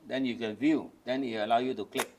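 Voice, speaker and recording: A man's voice: mid-range voice, fairly even intonation, a little fast, slight background noise, poor audio. An older man from Malaysia casually demonstrating old cameras, explaining each step patiently. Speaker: camera collector. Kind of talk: casual show-and-tell demonstration.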